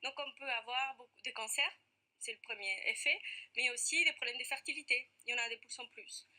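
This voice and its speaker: A woman's voice: on the high side, a little fast, sharp tone. A woman with an Argentine accent, a parent activist answering questions earnestly in a TV studio interview, with frequent hesitations. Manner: earnest, many hesitations with "euh".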